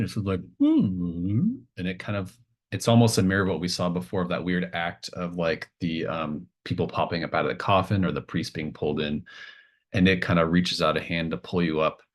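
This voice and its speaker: A man with a low-pitched voice acts as game master at a tabletop session. He narrates off the cuff and casually, in halting phrases that he builds as he goes.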